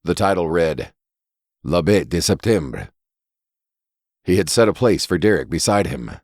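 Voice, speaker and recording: A man's voice: low-pitched, lively intonation, measured pace, darkish tone, no echo, clean studio sound. A man reading a scripted horror story as its narrator.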